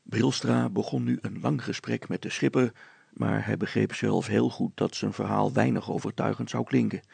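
A man's voice: on the low side, fairly even intonation, moderate pace, gravelly, a little mellow, husky, dry recording. A man reading a children's story aloud as a scripted audiobook narration.

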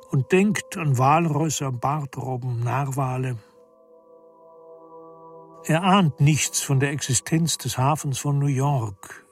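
Male narrator, played by an actor, radio drama, medium pitch, measured, detached, scripted storytelling, faint music. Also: darkish tone, natural voice. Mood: ominous. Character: detached literary narrator of an Arctic tale.

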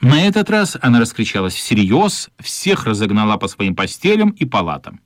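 A man narrating a children's radio play as scripted storytelling, measured and expressive, with pauses. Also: medium pitch, no room sound, clean studio sound. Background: music.

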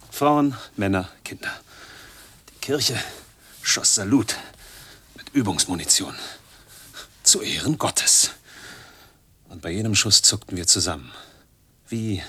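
An actor playing a weary, self-mocking man in his forties, brooding in halting fragments in a literary radio drama monologue. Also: deep voice, bright, forceful tone, slightly rough, heavily acted. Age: middle-aged. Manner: halting, brooding, in short fragments, often dropping toward a whisper. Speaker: monologue narrator.

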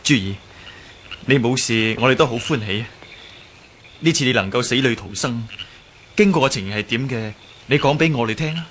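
A man's voice: mid-range voice, normal speed, pauses often, fairly bright tone, close to an everyday voice. Man acting an earnest, flustered young hero, faint noise. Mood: relieved, earnest. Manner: placating, sincere, easily flustered. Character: earnest, good-natured, flustered young male martial hero. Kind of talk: Cantonese radio drama acting.

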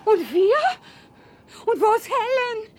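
A woman's voice: very high-pitched, slow, a little mellow, a little hiss or hum. An actress playing a frightened, fretful middle-aged mother in a radio drama, anxious and exclamatory. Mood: panicked. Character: frightened, fretful mother stranded with her husband.

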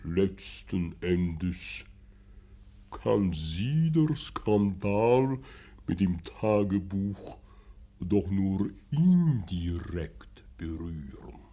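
An actor playing a self-righteous, moralizing professor in a German radio play, speaking in a formal, pompous and measured way. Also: male voice, low voice, big pitch swings, dark tone, breathy edge, exaggerated voice.

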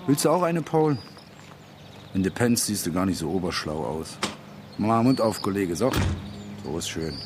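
An actor playing a laid-back, gruff working-class mate who tries to calm things down in terse, colloquial radio drama speech. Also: male voice, moderate pace, long pauses. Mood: gruff teasing. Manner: low-key, terse, colloquial. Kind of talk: naturalistic German radio drama acting. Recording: clear background ambience noise.

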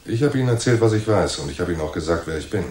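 A man's voice: low voice, expressive, rapid-fire, a little mellow. An actor playing a cocky, wry and unflappable male private detective in a German radio crime drama. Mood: sardonic. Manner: wry, unflappable, mock-courteous. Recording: roomy echo with faint noise.

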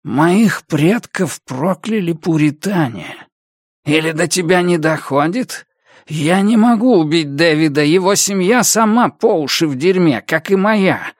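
Audiobook villain, acted by a male narrator: small vengeful fanatic, pitched up, drawling out menacing threats, dry close sound. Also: lively intonation, dry recording. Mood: anguished threat. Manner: slow, drawn-out, threatening delivery.

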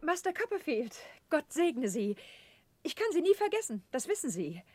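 A genteel sentimental wife, high husky voice that swoops around, fervent, old german radio play. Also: female voice, sing-song, normal speed, darkish tone, no room sound. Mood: tearful farewell. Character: devoted, sentimental genteel married lady. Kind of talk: 1960s German radio drama acting.

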